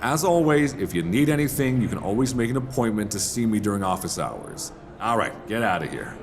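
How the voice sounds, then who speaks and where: Roleplay audio: male actor as teasing, charming professor, heavy gravelly fry, hesitant delivery, faint background noise. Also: expressive, brisk. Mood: kindly, addressing class. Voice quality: deep, gravelly. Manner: soft teasing, hesitant apologetic stammers. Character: charming, teasing male English professor, mild-mannered but flirtatious. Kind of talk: intimate roleplay audio drama. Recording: binaural recording with faint background ambience.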